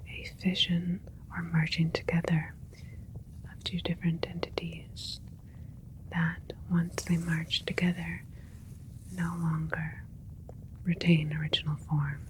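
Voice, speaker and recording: A woman playing a gentle alien scientist in a whispered ASMR sci-fi roleplay, explaining things in a soothing, clinical way. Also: lowish voice, level tone, slow, pauses often, slight background noise, rough recording. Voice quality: breathy whisper throughout. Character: gentle alien scientist doctor, proud of a hybrid creation.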